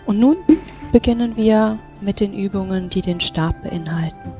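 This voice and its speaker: Woman playing a calm mage teacher with creaky voice. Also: mid-range voice, lo-fi sound, soft background music, natural voice. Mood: calm, instructive. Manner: measured, instructing. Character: calm, instructive female mage teaching a meditative dance.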